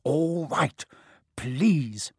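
A man's voice: fairly high pitch, expressive, extremely slow, darkish tone, husky, dry recording, clean studio sound, exaggerated voice. A man voicing an irritable male school caretaker, impatient and demanding, in a storybook reading. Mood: irritated. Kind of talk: dramatised audiobook character voice.

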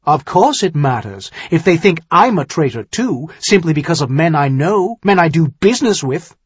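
A man's voice: a little high, animated, measured pace, breathy edge, dry recording, voice pushed up. Man acting a proud, indignant younger nobleman, slightly muffled. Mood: hotly indignant. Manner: sharp, demanding, harsh. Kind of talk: audiobook character dialogue.

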